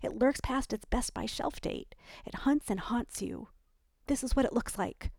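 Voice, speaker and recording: A woman doing a cutting, wounded woman friend, slightly raised pitch, storybook voice. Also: animated, a little slow, gravelly, a little mellow, no room sound, studio quality, full character voice. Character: hurt, sharp-tongued woman friend, married mother.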